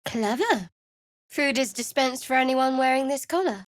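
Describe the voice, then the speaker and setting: A guarded, sardonic young cleric, higher-pitched. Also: female voice, animated, no room sound. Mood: wry, composed. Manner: dry, measured, wry delivery. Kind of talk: fantasy video game voice acting.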